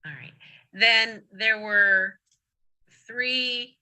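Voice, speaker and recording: A woman presiding as a judge at a court hearing, explaining procedure step by step in a measured way. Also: on the high side, fairly even intonation, bright, forceful tone, poor audio.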